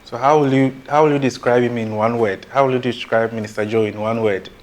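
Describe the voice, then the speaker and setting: A man's voice: mid-range voice, steady tone, fairly quick, darkish tone. A man hosting an interview, asking questions in an encouraging way and often answering with a repeated wow. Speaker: interviewer host. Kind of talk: casual interview chat.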